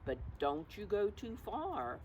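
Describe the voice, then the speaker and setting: Father hare for a children's picture book, woman reader: grown, busy, gently instructive, lively pitch, noisy recording. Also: slow. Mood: permissive, cautioning. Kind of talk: picture-book read-aloud for preschoolers.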